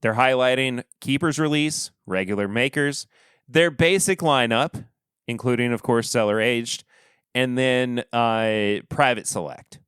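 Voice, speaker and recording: A man hosting a podcast, talking casually and rambling in a relaxed way, with a stuffy nose. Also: mid-range voice, a little slow, clear, resonant tone, dry recording. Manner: relaxed, rambling, trailing off quietly. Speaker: podcast host. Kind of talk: casual solo review chat.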